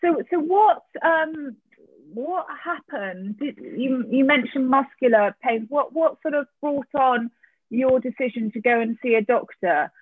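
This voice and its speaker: Woman, podcast host, fairly high voice, encouraging, filler words, phone-line sound, dry. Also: a little slow, slightly rough, dry recording. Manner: encouraging, chatty, with hesitant fillers. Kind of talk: interview hosting.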